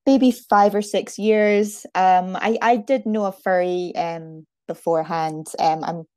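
A woman's voice: lowish voice, steady tone, a little slow, dark tone, no room sound. A Scottish woman in her thirties chatting casually about herself as a guest, with a self-deprecating, talkative manner. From Aberdeen, Scotland. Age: 30s. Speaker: podcast guest, fursuit maker. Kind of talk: casual chat, telling own story.

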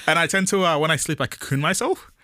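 A man's voice: fairly high pitch, fast, sharp tone, dry recording, clean studio sound. A young man co-hosting a podcast, rambling and giggling in casual chat. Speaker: podcast co-host.

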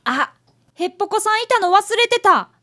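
A woman playing an earnest, cheeky fifth-grade boy apprentice detective in a radio drama, polite but sharp-tongued. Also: extremely high pitch, big pitch swings, moderate pace, heavily acted. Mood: curious, surprised.

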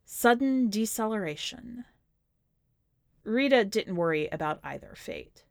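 A woman narrating literary fiction from a script, with measured, deliberate phrasing in short clipped sentences. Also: mid-range voice, big pitch swings, gravelly, darkish tone, dry recording, studio quality. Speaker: podcast host reading a short story.

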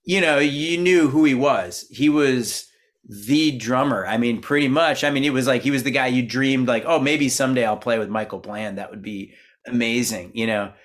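A man's voice: medium pitch, moderate pace. A middle-aged man from Minnesota, a musician guest in an interview, talking casually and rambling with many fillers and restarts. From Minnesota, USA.

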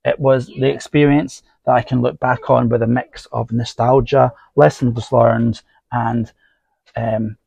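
A man reading scripted stories aloud, then chatting casually with fillers, his voice going husky. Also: mid-range voice, level tone, a little slow, darkish tone, dry recording. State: voice getting husky. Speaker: podcast host.

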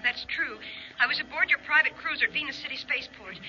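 An actress playing a plucky, demanding young woman of high birth in a 1950s radio space serial. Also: quick pace, pushed and bright. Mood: earnest. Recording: muffled, noisy old radio recording.

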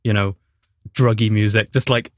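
A man's voice: lowish voice, little pitch movement, moderate pace, no echo, clean studio sound. A young man from Newport with a Welsh accent, chatting casually about acting, with many fillers and restarts. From Wales. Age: young adult. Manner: hesitant, lots of fillers and restarts. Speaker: drama graduate. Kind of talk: casual chat.

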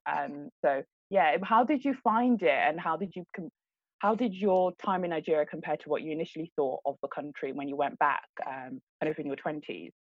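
Low, upbeat woman co-host. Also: brisk, no room sound. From Congo, moved to UK at seven. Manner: upbeat, reads guest intro then chats. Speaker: podcast co-host. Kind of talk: casual podcast interview. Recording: dull, lo-fi.

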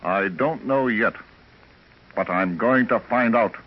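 A man's voice: lowish voice, takes it slowly, a little hiss or hum. A man playing a stern, determined police detective in an old radio drama, firm and no-nonsense. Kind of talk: 1940s radio acting. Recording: muffled old radio recording.

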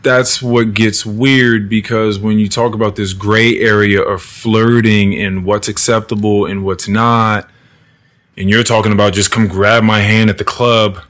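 A man's voice: lowish voice, little pitch movement, measured pace, fairly bright tone. A man hosting a casual podcast conversation, talking loosely and profanely and acting out the people he quotes. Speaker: podcast host.

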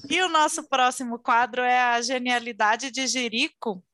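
Woman, podcast host, chatty, fairly high bright voice. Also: fairly even intonation, normal speed, no room sound, studio quality. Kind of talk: casual chat.

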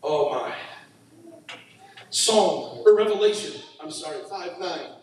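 A man pastor preaching an impassioned sermon in his church, often breaking into shouts. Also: high voice, lively intonation, takes it slowly. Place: church sanctuary. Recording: very echoey hall, mic far off.

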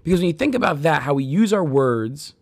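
An earnest man in his thirties talks through scripture in a conversational way, turning to the listener with stories from his own life. His voice sits a bit high and carries a gravelly fry. The sound is dry, with no room echo. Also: animated, brisk, a little mellow. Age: 30s. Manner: addresses listeners directly. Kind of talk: devotional podcast talk on scripture.

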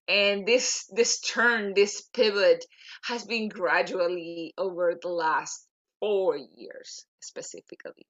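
A woman's voice: on the low side, steady tone, slow pace, a bit bright, lo-fi sound. A woman from Venezuela, a native Spanish speaker with a Venezuelan accent, chatting as a podcast guest. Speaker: podcast guest, LinkedIn coach. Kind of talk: conversational interview answers.

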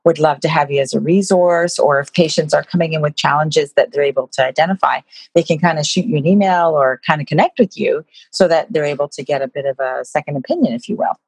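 A woman's voice: low voice, fast, gravelly, darkish tone, husky, no echo, rough recording. A woman hosting a podcast interview over a video call, asking questions and encouraging her guest. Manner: encouraging, lots of backchannel and laughter. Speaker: podcast host.

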